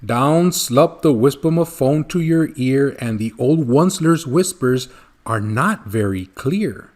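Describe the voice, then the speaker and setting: A man reading a rhyming children's storybook aloud in an expressive narrating style. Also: mid-range voice, a little slow. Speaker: storybook reader.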